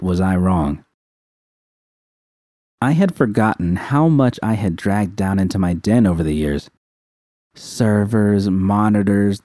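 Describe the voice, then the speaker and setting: A man's voice: fairly low pitch, mellow, dry recording, clean studio sound. A man narrating a scripted first-person story. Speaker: narrator, fan fiction reader.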